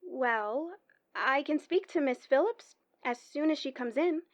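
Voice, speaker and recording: A woman's voice: high voice, expressive, unhurried. A woman playing a courteous, unruffled 1940s hospital switchboard woman in a radio drama, speaking formally and measuredly. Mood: patient, formal.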